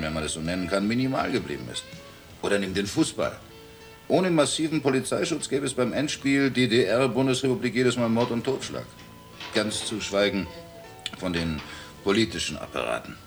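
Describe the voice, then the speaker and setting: A cynical British journalist. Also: male voice, lowish voice. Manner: sardonic, worldly, lecturing. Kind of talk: 1980s radio play acting. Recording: music underneath.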